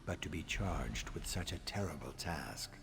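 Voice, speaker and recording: A man voicing a somber, reflective assassin in a game, speaking gravely in scripted inner narration. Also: rumbling low, animated, moderate pace, heavily acted. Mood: brooding. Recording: very noisy game audio with ambience.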